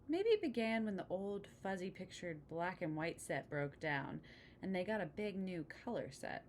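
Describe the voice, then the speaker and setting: A woman's voice: lowish voice, slight background noise. A woman reading a children's picture book aloud, chatting to young listeners as she explains the pictures. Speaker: read-aloud storyteller. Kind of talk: picture-book read-aloud with casual asides.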